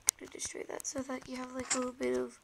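A woman's voice: sits high, monotone, rapid-fire, creaky. A woman with a sore, scratchy throat, chatting through a game in a hesitant way with many ums, sometimes dropping to a whisper. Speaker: gameplay commentator. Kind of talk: casual game commentary. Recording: loud background hiss with tapping clicks.

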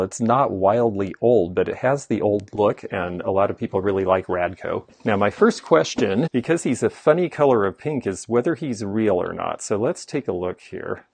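A middle-aged man with a deep, low voice walks through a big indoor antique mall and explains the finds as he goes. He sounds like a knowledgeable dealer chatting casually to a close camera. The sound is dry, with little room echo. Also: a little mellow, slightly rough. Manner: knowledgeable, explaining items as he browses. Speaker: antique dealer and show host. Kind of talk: casual walkthrough vlog.

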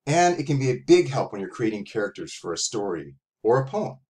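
A man's voice: mid-range voice, big pitch swings, a little fast, a little mellow. Middle-aged man teaching casually. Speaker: author and professor teaching a writing exercise. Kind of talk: casual instructional talk to young writers. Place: small room at home, indoors.

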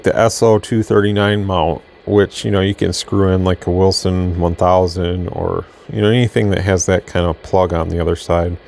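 Low-voiced American man, casual radio reviewer. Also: darkish tone. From Michigan, United States. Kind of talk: casual product demo talk.

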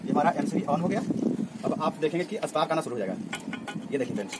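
A man's voice: fairly high pitch, expressive, racing. A young man, narrating a casual step-by-step home experiment and chatting to viewers as friends. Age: young adult. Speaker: DIY experiment vlogger. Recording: loud background noise outdoors.

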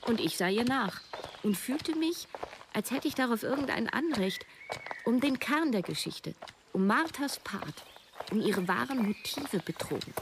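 A woman's voice: fairly high pitch, lively intonation, unhurried, noisy background. An actress playing a self-doubting woman in her thirties, narrating her own story reflectively in a radio drama. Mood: recounting. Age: 30s. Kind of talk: scripted first-person narration. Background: music.

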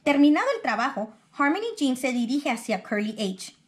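Mujer de voz aguda leyendo un cuento. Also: female voice, high voice, sing-song, normal speed, slight background noise. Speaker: picture book reader. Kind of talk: expressive children's storybook narration.

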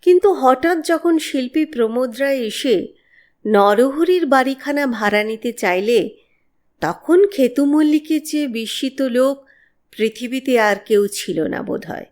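A woman narrating a horror story in a measured, expressive, dramatic way. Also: high voice. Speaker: audiobook narrator of horror stories.

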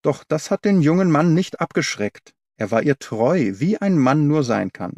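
A man's voice: fairly high pitch, big pitch swings, normal speed, darkish tone, no echo, dull audio. Older female servant, voiced by a man, chatty, deferential, loyal storybook character, very dry sound. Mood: confiding, earnest. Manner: colloquial, deferential, eager to explain. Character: talkative, loyal older woman servant confiding a secret.

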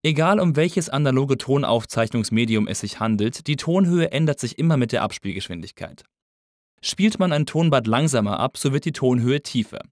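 A man's voice: medium pitch, lively intonation, normal speed, dry recording. Man narrating a lesson in a dry studio sound. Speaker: audio engineering instructor.

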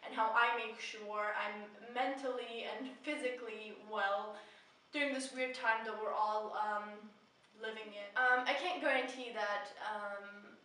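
A woman's voice: a little high, steady tone, unhurried, sharp tone. A young woman talking casually and earnestly to the camera as a vlogger, rambling a little with heartfelt emphasis. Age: young adult. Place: small indoor room with bare painted walls. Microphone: camera mic at a distance. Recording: echoey room, distant mic, faint noise.

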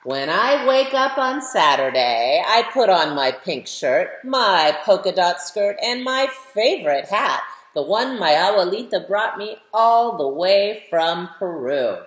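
Expressive fifty-year-old woman reading to children. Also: fairly low pitch, slow pace, few pauses. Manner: expressive, emphatic, addressing children with questions. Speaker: children's author reading aloud. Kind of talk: animated picture-book read-aloud with asides to young listeners. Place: living room at home, indoors. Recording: roomy indoor webcam echo.

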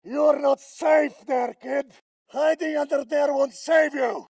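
An actor playing a brutal, threatening Russian soldier in a shooter game, with a Russian accent. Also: male voice, very high voice, steady tone, slow pace, darkish tone, dry recording, shouting, clean studio sound, heavily acted. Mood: enraged, taunting. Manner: threatening, taunting. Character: brutal, menacing Russian soldier hunting a child. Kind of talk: combat voice lines for a shooter game.

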